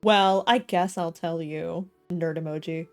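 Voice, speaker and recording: A woman's voice: on the low side, steady tone, measured pace, faint music behind. A woman livestreamer giving casual, joking, slangy reactions as she plays a game. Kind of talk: casual game playthrough commentary.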